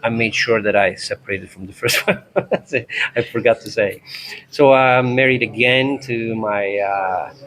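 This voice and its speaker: A man from Turkey with Turkish as his first language, a tango instructor telling his story in a relaxed, thoughtful way in an interview. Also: lowish voice, measured pace, poor audio. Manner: thoughtful, narrates in chapters, acts out dialogue.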